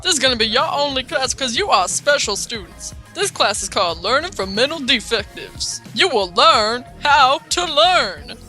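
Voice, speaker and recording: A woman voicing a loud, abusive male schoolteacher in an anime parody, barking insults like a drill sergeant. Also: high-pitched, very animated, moderate pace, hardly any pauses, soft background music, exaggerated voice. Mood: stern, barking. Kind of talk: comedic visual novel parody dub.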